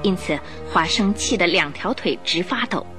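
A woman's voice: fairly low pitch, animated, moderate pace, over music. A woman narrating a radio drama as a scripted, dramatic story.

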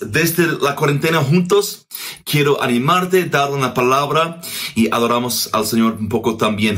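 Australian man, English native, halting speech, searching for words, at home. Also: mid-range voice, a bit bright. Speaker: guest worship minister. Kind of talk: casual video message.

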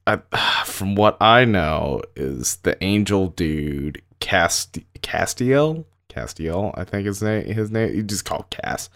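Young male co-host, very deep voice, laid-back and irreverent, casual cursing. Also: lively intonation, slow, vocal fry, few pauses, a bit bright, dry recording, studio quality. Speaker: podcast co-host. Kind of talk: casual podcast banter.

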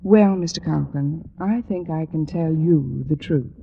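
Wry, deadpan schoolteacher. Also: female voice, sits low, unhurried, gravelly, narrow, tinny audio. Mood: dryly amused. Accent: American. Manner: dry, deadpan. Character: wry, wisecracking single schoolteacher, dryly sarcastic woman. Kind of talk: 1940s-50s radio comedy acting. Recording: very noisy old-time radio recording.

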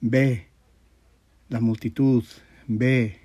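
Congested, husky man preaching, mellow voice. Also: medium pitch, little pitch movement. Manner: halting, repetitive, earnest. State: congested, stuffy nose, sore throat. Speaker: religious teacher leading an audio class. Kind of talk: informal sermon and prayer.

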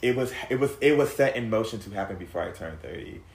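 A man's voice: lowish voice, animated, fast talker, darkish tone. A man in his thirties telling a painful personal story in casual chat, rambling and emotional with lots of fillers and restarts, swearing freely. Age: 30s. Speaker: podcast guest sharing a personal story. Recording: echoey room.

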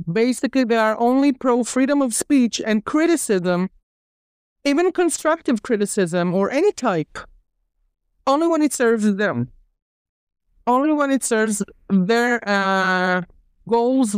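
A woman's voice: a little high, smooth, no echo, studio quality. A woman guest on a discussion podcast, speaking English as her second language. She argues emphatically and indignantly, often restarting her sentences.

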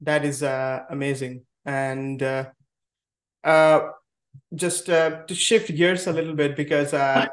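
A man hosting an interview podcast, speaking with many hesitant "uh" fillers. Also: mid-range voice. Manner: heavy use of "uh" fillers. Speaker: podcast host. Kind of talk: conversational interview. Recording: echoey room.

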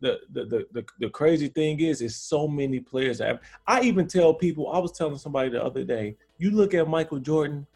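A man's voice: a little high, animated, a little fast, vocal fry, few pauses, a little mellow. A man from the United States, a guest basketball player, chatting in an easygoing, hesitant way. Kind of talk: casual interview chat.

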